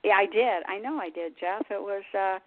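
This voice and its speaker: A woman's voice: mid-range voice, a little fast. An older woman hosting a radio show, chatting in an easy, flowing, confiding way. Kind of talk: casual interview chat. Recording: dry phone-line sound.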